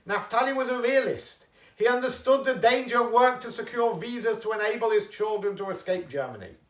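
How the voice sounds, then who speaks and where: A man speaking as a rabbi, giving a solemn, measured memorial address with an oratorical delivery. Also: high-pitched, fairly bright tone. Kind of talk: solemn speech and prayer. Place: echoey room, pre-recorded video. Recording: echoey room, slightly distant mic.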